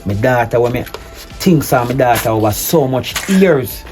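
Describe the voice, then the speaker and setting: A man playing a hurt, betrayed husband, speaking low and measured, then forcefully. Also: animated, over music, lightly acted. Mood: stern. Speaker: husband in a domestic drama skit. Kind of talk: improvised-sounding skit acting.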